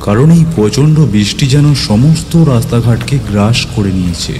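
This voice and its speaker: A man narrating a ghost story in the first person, in a dramatic, suspenseful way with deliberate pauses. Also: fairly low pitch, noisy background. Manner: suspenseful, theatrical, with deliberate pauses and repeated words. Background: music.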